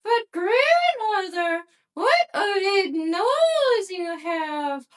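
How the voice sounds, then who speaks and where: A woman voicing a sweet young girl in a red cloak through a ventriloquist doll, speaking high, slow and sing-song. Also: big pitch swings, a bit bright, exaggerated voice. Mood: innocent.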